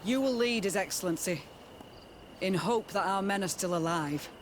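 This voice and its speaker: An actor playing a formal, commanding army captain in a video game. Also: male voice, high-pitched, normal speed, slight background noise, natural voice. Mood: formal, concerned.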